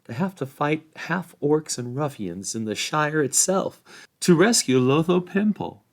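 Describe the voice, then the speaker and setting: A man's voice: fairly high pitch, lively intonation, a little slow, pitched above the performer's own voice. Man voicing a blunt, indignant young hobbit.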